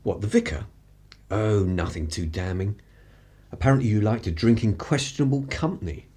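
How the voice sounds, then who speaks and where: A man's voice: fairly low pitch, moderate pace, warm tone, breathy edge. A man with an upper-class English accent playing an urbane, wry 1930s journalist in a radio play, languid and drily superior. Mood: suave, amused. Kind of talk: period radio play acting.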